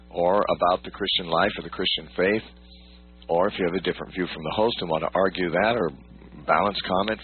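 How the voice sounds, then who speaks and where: Low-voiced male Bible radio host. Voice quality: husky morning voice. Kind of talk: live Bible call-in radio show. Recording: muffled lo-fi radio, humming noise.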